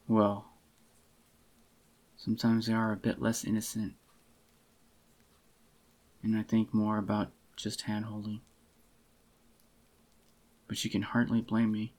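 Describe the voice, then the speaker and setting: A man doing a soft-spoken, intimate ASMR roleplay as an adoring, possessive boyfriend, in a slightly groggy, unhurried murmur. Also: on the low side, monotone. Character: adoring possessive young boyfriend, tender and clingy. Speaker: ASMR voice actor.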